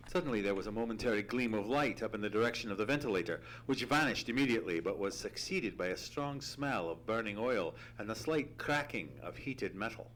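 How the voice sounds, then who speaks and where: A man playing an earnest gentleman sidekick who narrates a mystery with theatrical, deliberate storytelling in an old-time radio play. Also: fairly low pitch, close to an everyday voice. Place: theatre stage. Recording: humming, noisy live theatre recording.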